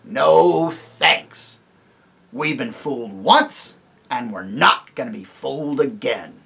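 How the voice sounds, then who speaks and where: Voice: mid-range voice, lively intonation, takes it slowly, creaky, bright, forceful tone, full character voice. A man voicing a surly, sneering male dwarf, blunt and jeering, in a storybook reading. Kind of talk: audiobook reading.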